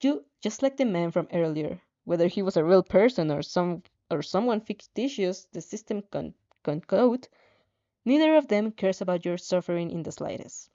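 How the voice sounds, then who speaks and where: Woman reading fanfic out loud, dramatic, very mellow warm tone, bit muffled lo-fi mic. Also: fairly low pitch, lively intonation, moderate pace. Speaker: fan fiction narrator. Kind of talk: dramatic second-person story reading.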